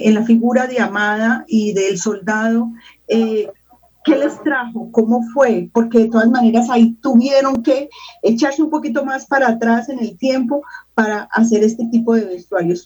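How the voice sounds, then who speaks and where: A woman's voice: a little high, darkish tone, breathy edge. A Colombian woman, a teacher, putting conversational interview questions from some distance to the microphone. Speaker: teacher asking questions on a panel.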